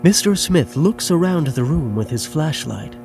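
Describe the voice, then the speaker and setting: A man's voice: a little high, lively intonation, measured pace, gravelly, darkish tone, soft background music. A man narrating the action of a scripted audio drama.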